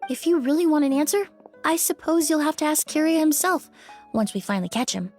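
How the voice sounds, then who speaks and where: Game role: polite, analytical young woman, fairly high pitch, composed and precise. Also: animated, fairly quick, a little mellow, soft background music. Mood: calm, firm. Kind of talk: video game voice acting.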